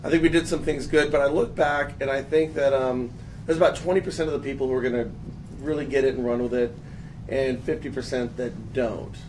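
A man in his thirties hosts a casual panel, his voice gravelly and worn hoarse. He talks in halting bursts full of restarts. The mic sits far off and the line is noisy. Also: mid-range voice, fairly even intonation, a little mellow. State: hoarse from a long three days. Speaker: host. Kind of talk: panel discussion. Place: office room with a panel.

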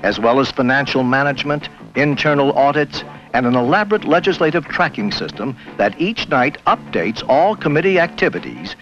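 Animated male documentary narrator, dull film sound. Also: mid-range voice, normal speed. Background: faint music.

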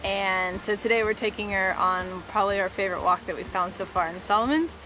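A woman's voice: medium pitch, fairly quick, pushed and bright, a little hiss or hum, poor audio. A young woman vlogging while walking outdoors on a trail, talking in a chatty, upbeat way. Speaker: sailing vlogger. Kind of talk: casual vlog talk while walking.

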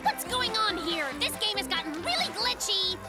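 Boastful young male bear cub, very high voice, excitable, theatrical, children's cartoon, prominent music behind. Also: normal speed. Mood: puzzled.

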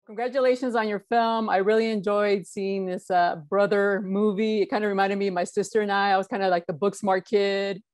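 A woman's voice: mid-range voice, little pitch movement, fairly quick, few pauses, a little mellow, dry recording, faint music behind. A middle-aged woman interviewing guests over a video call with friendly, chatty questions. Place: indoor room with red wall. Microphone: wired earphone mic.

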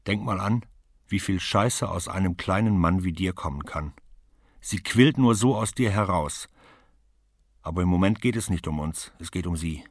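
A man reading aloud and voicing a tough, blunt, hardened woman who talks in a forceful, scolding way. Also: sits low, normal speed, husky, no room sound, clean studio sound. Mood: stern, forceful.

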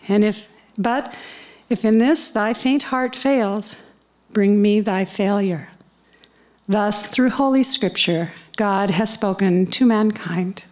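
Older woman reading scripture, measured and solemn. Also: medium pitch, a little mellow. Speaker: reader at a church service. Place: indoor chapel. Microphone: stand microphone close to the mouth.

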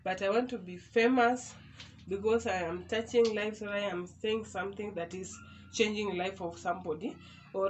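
A young Kenyan woman with a Kenyan accent answers viewer questions in a relaxed, chatty vlog, gesturing as she talks. Her voice sits at a middle pitch for a woman. She is recorded by a camera a short way off in a small room, with a faint hum behind her. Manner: chatty, animated hand gestures. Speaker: YouTuber. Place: small indoor room, in front of a door.

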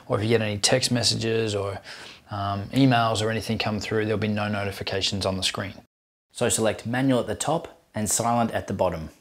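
A man in his thirties narrating a scripted how-to tutorial, giving clear step-by-step instructions as the host. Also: fairly low pitch.

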